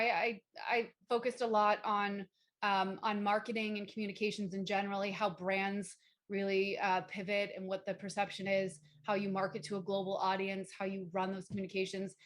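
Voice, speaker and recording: A woman's voice: mid-range voice, flat delivery, normal speed, a bit bright. A woman speaking as a panelist in a casual online discussion, talking loosely and conversationally with plenty of fillers.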